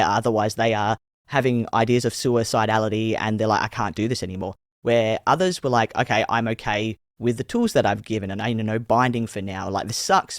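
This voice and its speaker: Low-voiced Australian host, dry close sound. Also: male voice, no echo. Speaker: podcast host. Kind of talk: casual interview chat.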